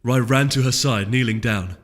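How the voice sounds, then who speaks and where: A man reading fantasy fiction as a story narrator, in an expressive, suspenseful scripted style. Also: medium pitch, normal speed. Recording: long hall reverb under music.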